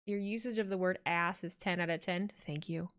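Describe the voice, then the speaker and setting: A woman's voice: lowish voice, steady tone, a little fast, a bit bright. A young woman, a streamer, chatting casually and jokingly while she reads her chat aloud during a watch-along.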